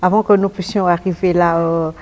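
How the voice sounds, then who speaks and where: A woman hosts a religious television interview. Her voice is soft-edged and dark, pitched a little low. She addresses her guest formally and courteously. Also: level tone, moderate pace.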